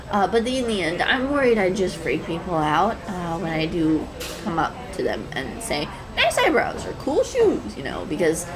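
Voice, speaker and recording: A woman acts out a shy, anxious young woman in an intimate audio roleplay. Her mid-range voice rises and falls in lively swings as she rambles, hesitates and puts herself down. Busy café chatter surrounds her. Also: mid-range voice, close to an everyday voice, low-quality recording. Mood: anxious, overthinking. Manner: nervous, hesitant, rambling, self-deprecating, giggly. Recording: coffee shop chatter behind voice. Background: coffee shop crowd sound effects.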